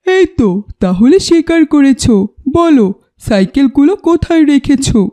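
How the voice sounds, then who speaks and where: Casting: female actor as bossy indignant cartoon youngster, high but mellow voice, scolding. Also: lively intonation, moderate pace. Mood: stern, indignant. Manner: scolding, commanding. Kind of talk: cartoon voice acting.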